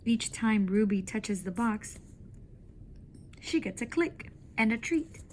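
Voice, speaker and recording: A woman teacher reading a children's novel aloud to her students in a slow, deliberate storytelling manner. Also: a little high, lively intonation, slight background noise.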